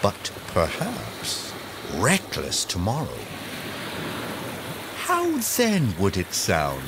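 A man narrating a spoken-word poem, placing single words and short phrases in a measured, spaced-out way over a soundscape. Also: fairly low pitch, huge pitch swings, noisy background. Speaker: narrator, poetry reader. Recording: loud ocean waves behind the voice. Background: sea surf and lapping water soundscape.

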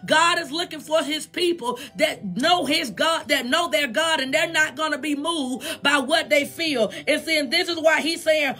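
High, bright-voiced American woman preaching. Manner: emphatic, urging, repetitive refrains. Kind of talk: exhortatory sermon.

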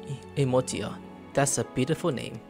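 A young man with a headset playing a game, commenting playfully and excitably, reading lines aloud and swearing and shouting in fights. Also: medium pitch, normal speed, gravelly, over music. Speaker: gaming streamer.

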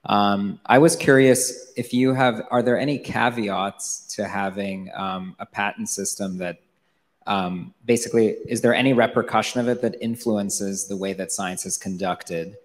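Deep voiced guy in the crowd asking a q, lots of ums, echoey hall. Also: fairly even intonation, normal speed. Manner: hesitant, many fillers. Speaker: audience member asking a question. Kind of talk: question from the floor. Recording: long hall reverb.

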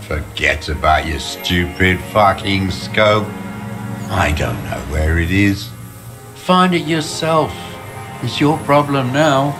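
An actor playing a bitter, sickly old communist deserter in a video game, scornful and ranting. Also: male voice, on the low side, animated, takes it slowly, over music. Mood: weary, defiant. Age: older. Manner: scornful, ranting, spitting insults. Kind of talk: dramatic video game voice acting.

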